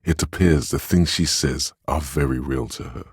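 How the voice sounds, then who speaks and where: An actor playing a grave, compassionate inner voice of empathy in a game, narrating in a measured, solemn and tender way. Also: male voice, a bass voice, husky, no echo, clean studio sound, exaggerated voice. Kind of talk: dramatic game narration, second person.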